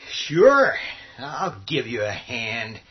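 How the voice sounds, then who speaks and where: An actor playing a cowardly, spiteful husband in a horror radio drama, agitated and sneering, with a raspy voice. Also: male voice, mid-range voice, sing-song, extremely slow, exaggerated voice. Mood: spiteful, taunting. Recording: muffled lo-fi sound.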